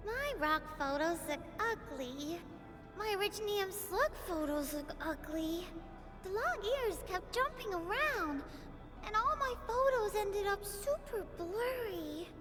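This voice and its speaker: An extremely high, small child's voice for a video game, eager and pouty by turns. The kid speaks unhurriedly, adoring and easily excited, over prominent background music. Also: female voice, slow pace, exaggerated voice. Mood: pouty, hesitant. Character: excitable, whiny, adoring little child keen on photography. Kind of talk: video game character acting.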